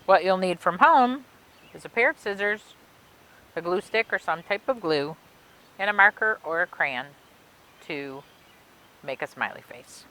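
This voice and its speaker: A woman reading and explaining things for children in an expressive way, with simple, clear phrasing, like a children's librarian. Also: on the low side, unhurried, pauses often. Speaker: children's librarian reading a story and demonstrating a craft. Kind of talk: read-aloud storytelling and craft instructions for children.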